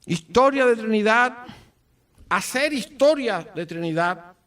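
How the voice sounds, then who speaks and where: A Cuban man with a Cuban accent giving a formal commemorative speech, solemn and rhetorical, with deliberate phrasing and dramatic pauses. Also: high voice, animated, a bit bright. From Cuba. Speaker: speaker at a book presentation. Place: event at an office venue. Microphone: far from the speaker. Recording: distant microphone.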